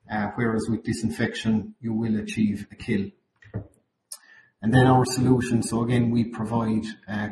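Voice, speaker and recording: Croaky Irish man, flat low delivery. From Ireland. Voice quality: slightly croaky. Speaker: account manager presenting at a webinar. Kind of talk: webinar presentation. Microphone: video call. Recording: roomy, noisy webinar call audio.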